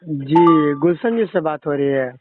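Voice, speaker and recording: A tinny phone line carries a man's fairly high voice. He has a Bhojpuri accent and works as a reporter, interviewing by phone. His questioning is pushy, confrontational and scolding. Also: unhurried, no echo, soft background music. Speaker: media reporter questioning a caller. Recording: tinny, band-limited phone call.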